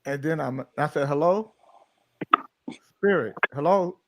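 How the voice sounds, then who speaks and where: A man's voice: on the high side, measured pace, heavy background noise. A man hosting a casual talk show, telling a joking story. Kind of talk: casual group chat.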